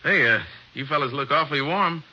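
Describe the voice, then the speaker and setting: An actor playing a friendly, eager hotel manager who chats readily, in an old radio drama. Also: male voice, on the high side, a little fast, bright, forceful tone. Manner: eager, chatty. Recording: dull old radio recording.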